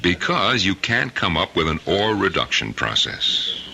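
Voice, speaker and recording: An actor playing a steady, protective middle-aged friend in an old-time radio drama. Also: male voice, deep voice, unhurried, creaky, full character voice. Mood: genial, probing.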